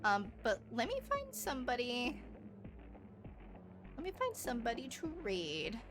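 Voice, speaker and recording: A woman's voice: fairly high pitch, huge pitch swings, unhurried. Female game streamer, bubbly and rambling, casual live chat with music behind her. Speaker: livestreamer.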